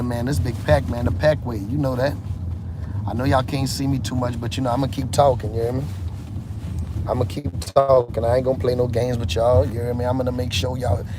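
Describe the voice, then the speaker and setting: Rambling New man livestreaming. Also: medium pitch. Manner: rambling, repeats "you hear me" often. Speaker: livestream host. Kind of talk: casual livestream monologue. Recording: noisy phone livestream audio.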